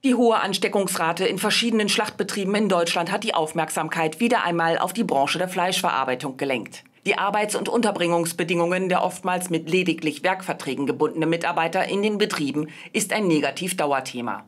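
A woman's voice: fairly low pitch, a little fast, few pauses. A woman reading a scripted news voice-over as a reporter.

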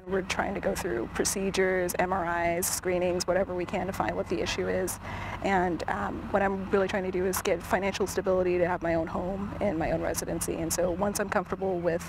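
A woman's voice: on the low side, little pitch movement, fairly quick, background noise. A young adult woman, a veteran resident, explaining her situation plainly in an outdoor interview. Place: outdoors by a building.

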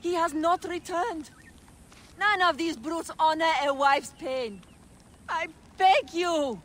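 Casting: a cold, imperious schemer, high and bright. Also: female voice, takes it slowly, exaggerated voice. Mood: pleading. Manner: imperious, scornful. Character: scheming wife of a Roman, sharp and cruel.

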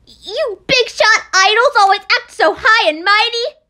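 Woman voicing a loud, bossy anime schoolgirl. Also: extremely high pitch, a little slow, heavily acted. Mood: indignant. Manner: blunt, loud, scolding. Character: brash, hot-tempered, bossy teenage girl, former idol. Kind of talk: amateur anime game voice acting.

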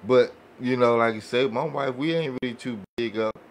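An American man chatting casually as a podcast panelist, halting and repeating phrases as he goes. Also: medium pitch. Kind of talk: casual chat. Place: indoor podcast table. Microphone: studio microphone. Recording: noticeable background noise, room ambience.

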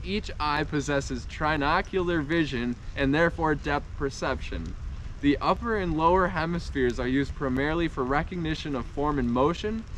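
A man's voice: a little high, slight background noise, low-quality recording. A young man co-hosting a hiking vlog, outdoors in bare woods, reading facts aloud and stumbling over hard words. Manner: reads haltingly, stumbles on words, laughs often. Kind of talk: casual chat, reading facts aloud from a phone. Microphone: 360 camera on a handheld stick, a few steps off.

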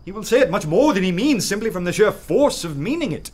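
An actor playing a witty, self-assured young poet in a radio play, courteous, dry and eloquent. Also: male voice, high voice, sing-song, quick pace, darkish tone. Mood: teasing, calm. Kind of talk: full-cast radio play acting with first-person narration.

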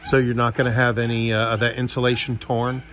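A man's voice: medium pitch, little pitch movement, a little fast, a bit bright, slight background noise. A man working as a real estate agent, talking casually and jokily through an unscripted walkthrough tour. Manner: folksy, offhand, jokey.

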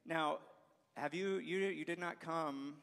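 A man's voice: on the high side, fairly even intonation, takes it slowly, gravelly, fairly bright tone. A young man hosting a student interview on a church stage with a handheld mic, upbeat and prone to restarting his sentences. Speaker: school staff host. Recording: long reverb of a large hall.

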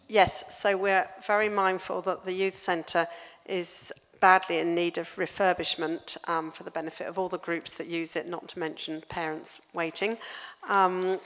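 Woman chair, low steady voice, flat delivery, hall reverb, polite and measured. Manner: measured, polite, with frequent fillers. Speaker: meeting chair. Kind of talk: chairing a council meeting. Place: large hall with long tables.

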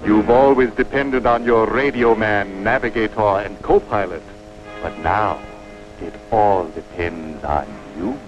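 Under loud music on a muffled old film soundtrack comes a man acting out a sneering villain. He taunts slowly and deliberately, savoring the mockery in classic 1940s cartoon fashion. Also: on the low side, lightly acted. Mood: taunting. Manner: slow, deliberate, mocking delivery. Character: sneering male villain, taunting enemy of pilots.

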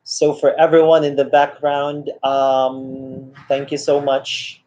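A man's voice: mid-range voice, completely flat delivery, slow pace, a little mellow. A man, casually chatting with viewers on a live stream, reading comments aloud and greeting people by name. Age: 30s. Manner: friendly, greets viewers by name, reads chat aloud, laughs often. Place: small room indoors. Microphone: laptop webcam mic. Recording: dull lo-fi laptop sound.

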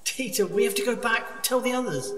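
A man's voice: extremely high pitch, a little fast, a bit bright. A man playing a cautious, reluctant cave explorer in an audio drama, anxious, hesitant and stammering. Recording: echoey cave-like reverb, distant, faint noise.

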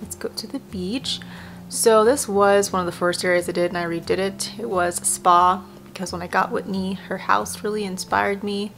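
Young woman rambling through a game tour, voice a lil worn, goes almost whispery, soft game music behind. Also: mid-range voice, steady tone, normal speed, gravelly. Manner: chatty, rambling, often drops to a near whisper. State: voice a little worn. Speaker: video creator. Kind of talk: casual game tour voice-over. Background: faint game music.

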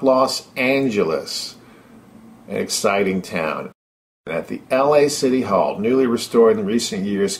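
A man's voice: on the low side, slight background noise. Upbeat middle-aged man narrating travels. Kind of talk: first-person travelogue narration over photos.

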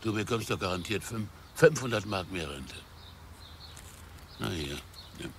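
A man's voice: on the low side, animated, measured pace, noisy background. An actor playing a prickly older retired man in a radio play, blunt and sardonic. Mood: needling. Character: prickly old male retiree on a park bench.

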